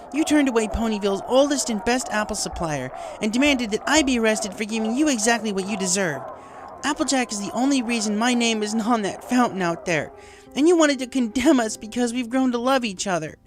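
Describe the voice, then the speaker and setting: Defiant young pony mare, as a male reader puts her on, raised pitch, proud, noisy recording. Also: animated, fairly quick. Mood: bitter, defiant.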